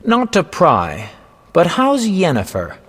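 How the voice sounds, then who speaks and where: Casting: vain roguish game bard, theatrical and florid, fairly high voice with swooping melody. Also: male voice, huge pitch swings, takes it slowly, slightly rough, long reverb tail, exaggerated voice. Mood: breezy, sly. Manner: theatrical, florid, self-important. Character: flamboyant, vain, roguish male poet and bard. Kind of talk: video game voice acting, storytelling.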